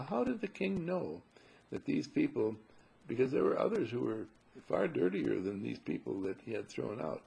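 Husky, gravelly man giving a spiritual talk. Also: mid-range voice, warm tone, poor audio. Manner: rhetorical questions, emphatic repetition. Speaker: spiritual teacher.

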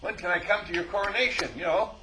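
A man's voice: high voice, fast talker, background noise. A man giving an informal spiritual talk as a teacher, telling stories and acting out both sides of conversations with frequent chuckles. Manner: animated storyteller, acts out dialogue, chuckles often.

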